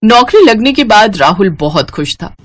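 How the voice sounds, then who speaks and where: A woman's voice: medium pitch, sing-song, moderate pace, slight background noise. A woman storyteller reading a scripted tale.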